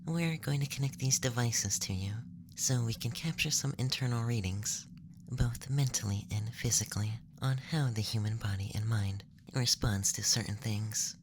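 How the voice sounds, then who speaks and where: A man voicing a cool, analytical alien scientist in a whispered ASMR roleplay, measured and detached with a sly teasing streak. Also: mid-range voice, lightly acted. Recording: loud background noise and ambience.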